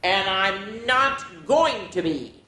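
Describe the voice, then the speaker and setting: A woman's voice: mid-range voice, expressive, takes it slowly, pushed and bright. A woman voicing a grumpy, stubborn young tree in a storybook, complaining in an emphatic grumble. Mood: stubborn, grumbling. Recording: echoey room with faint background noise.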